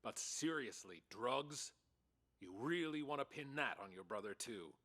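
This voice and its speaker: A man's voice: medium pitch, expressive, unhurried, sharp tone. A man playing a stern, sardonic police detective pressing a suspect in an audio drama. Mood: accusing.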